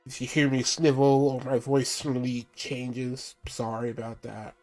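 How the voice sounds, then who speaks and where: A man's voice: mid-range voice, little pitch movement, a little slow, faint music behind, low-quality recording. A man streaming a game with casual commentary, stuffy from a cold, apologetic and thinking aloud as he plays.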